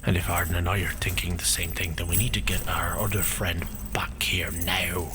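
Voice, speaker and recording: A man playing a weathered, grave and wary old adventurer in a fantasy audio drama, with a gravelly voice and halting, archaic phrasing. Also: deep voice, flat delivery, music in the background, close to an everyday voice. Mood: hushed, grave.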